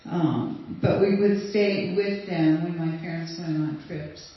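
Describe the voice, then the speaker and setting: A woman's voice: fairly low pitch, steady tone, slow. An older woman from Sweden telling casual, rambling stories to an audience, with fillers and light laughs. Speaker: guest speaker sharing memories. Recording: distant, dull, very roomy recording.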